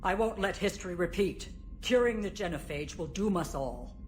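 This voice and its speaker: A woman's voice: lowish voice, animated, normal speed, soft background music, lightly acted. A woman playing a haughty, stubborn female alien political leader in a video game, imperious and cold. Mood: unyielding.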